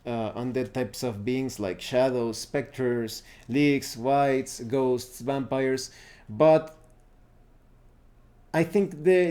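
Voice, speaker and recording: A man giving a casual, unscripted review of roleplaying games, pausing now and then to search for words. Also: mid-range voice, warm tone. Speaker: tabletop roleplaying game reviewer.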